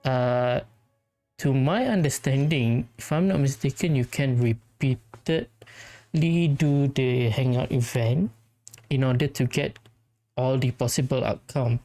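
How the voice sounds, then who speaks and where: Very low-pitched, slow, mellow woman gamer. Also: soft background music. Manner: rambling, low-key, hesitant. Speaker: gaming video host. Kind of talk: casual let's-play commentary.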